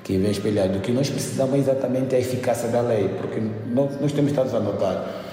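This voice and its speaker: A man's voice: mid-range voice, fast talker, dark tone. A man with an Angolan accent giving an interview statement, emphatic and repeating phrases. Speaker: trade unionist. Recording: very echoey reverberant room.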